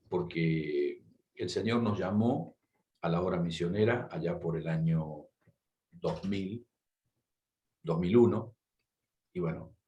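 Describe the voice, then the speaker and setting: Argentine missionary guy telling his story, low voice, jokey asides, roomy echo. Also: darkish tone. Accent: Argentine Spanish. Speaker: missionary speaker. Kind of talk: testimony talk.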